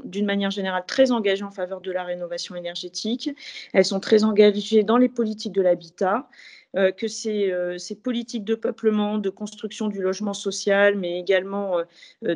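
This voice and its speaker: A woman speaking as an association representative in an interview, explaining policy formally and haltingly as she searches for precise wording. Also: medium pitch, a little mellow.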